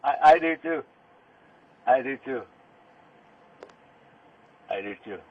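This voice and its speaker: A man under arrest talking casually with police in a halting way, often repeating his phrases. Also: medium pitch, lively intonation. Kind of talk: casual conversation during an arrest. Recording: noisy, tinny police body-camera or vehicle audio.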